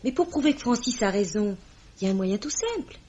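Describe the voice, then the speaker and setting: An actress playing a self-assured, spirited young fiancée in a 1950s-style French radio mystery. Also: female voice, medium pitch, expressive, a little fast, darkish tone, husky. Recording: dull, noisy vintage radio recording.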